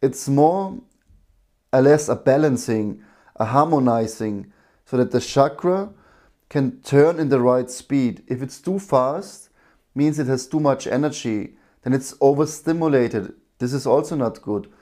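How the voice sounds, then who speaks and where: Male breathwork teacher on a live stream, mid-pitched, warm and strong, talking in unhurried loose explanations.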